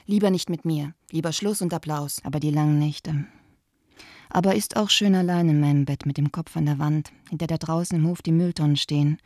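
A woman's voice: low voice, steady tone, brisk, a little mellow, no room sound, studio quality. An actress in a radio play, voicing a wistful, wry woman reading out her letters to friends.

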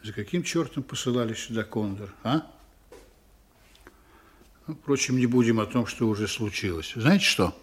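A man's voice: medium pitch, normal speed, long pauses, slightly rough, close to an everyday voice. An actor playing a stern, weary male starship commander who gives curt, clipped orders in a radio drama. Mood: brusque, irritated.

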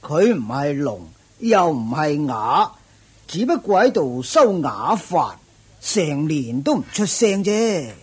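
An actor playing a proud older ascetic master in a radio drama, with a husky, raspy voice, a drawling self-satisfied manner. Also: male voice, fairly high pitch, very animated, slow, heavily acted. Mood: proud, unhurried. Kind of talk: Cantonese radio drama acting.

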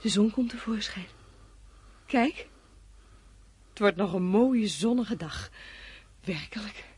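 A woman's voice: medium pitch, takes it slowly, darkish tone, slightly rough. An actress in a radio drama playing a weary woman fleeing on foot, plaintive and reproachful, sometimes drifting into dreamy murmurs. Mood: dreamy, wistful. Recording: noisy radio play recording.

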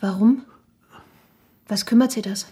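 An actress playing a frank, practical young shopkeeper's daughter in a German radio drama, earnest and admonishing. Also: female voice, mid-range voice, fairly even intonation, measured pace, mellow, close to an everyday voice. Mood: brisk, concerned.

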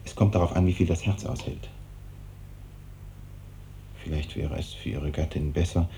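An actor playing an evasive, hesitant hospital doctor in a 1950s German radio drama, on an old noisy recording. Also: male voice, sits low, fairly even intonation, normal speed, gravelly, warm tone. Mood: grave. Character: evasive, brisk hospital doctor, uneasy with hard truths.

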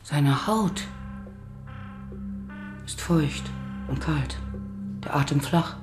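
An actress playing a young monk and healer, learned, earnest and resolute, in a radio drama. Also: female voice, sits low, drawn-out words, over music. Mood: concerned, focused. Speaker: actor.

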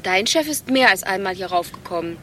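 An actress playing a guarded, matter-of-fact, quietly needling secretary in a satirical radio play. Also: female voice, mid-range voice, lively intonation, fairly quick, slight background noise, natural voice. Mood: pointed.

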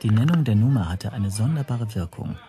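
A man's voice: on the low side, animated, fairly quick, a crowd in the background, lightly acted. An actor playing a tense, self-doubting man who tells his own story as introspective first-person narration in a radio play. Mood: brooding.

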